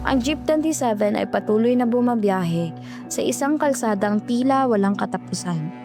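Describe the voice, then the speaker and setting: A woman's voice: a little high, lively intonation, normal speed, over music. A woman with a Filipino accent reading scripted, dramatic horror narration.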